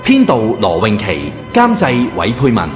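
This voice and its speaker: A man's voice: mid-range voice, huge pitch swings, moderate pace. A man with a Hong Kong Cantonese accent reading a scripted radio programme credit announcement. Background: music.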